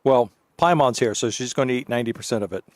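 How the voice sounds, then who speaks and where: A man's voice: mid-range voice, fast talker, a little mellow. A man streaming a game, giving wry, joking and mock-dramatic commentary. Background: game music.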